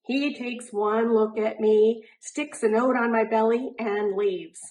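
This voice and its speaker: A woman reading a children's picture book aloud in an animated way, drawing out words for emphasis. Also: fairly high pitch, a little hiss or hum, low-quality recording. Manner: animated, deliberate, drawn-out emphasis on rhyming words. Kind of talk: expressive children's storybook narration.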